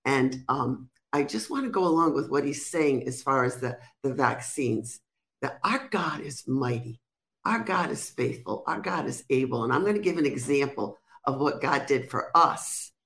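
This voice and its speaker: A woman's voice: rumbling low, animated, moderate pace, fairly bright tone. Earnest woman with a Rhode Island accent. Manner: earnest, declarative, repetitive phrasing. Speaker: guest minister. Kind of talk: testimony on a call-in interview.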